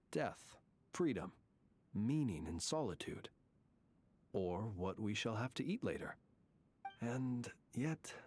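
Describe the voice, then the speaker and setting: A courteous, solemn gentleman from a video game, speaks in ornate, measured phrases. His voice sits at a middling male pitch, with faint music behind it. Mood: solemn, thoughtful. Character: courteous, solemn gentleman who lived alone in a cemetery. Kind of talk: scripted video game character dialogue.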